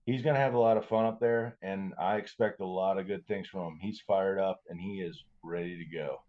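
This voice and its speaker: Low-voiced man, slightly muffled sports chat. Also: fairly even intonation, brisk. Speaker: podcast co-host.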